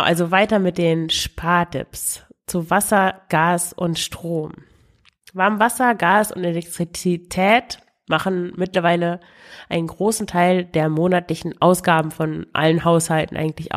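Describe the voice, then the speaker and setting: Podcast host, woman, has a cold, lowish voice; chatty and direct with the listener. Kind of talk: casual money-saving tips podcast.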